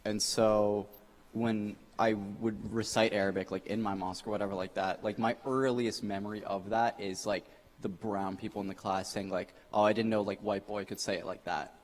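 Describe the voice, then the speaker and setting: A man's voice: lowish voice, little pitch movement, normal speed. A young man from Maryland, an interviewee, giving a candid personal account in loose rambling speech full of "like". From Maryland, USA.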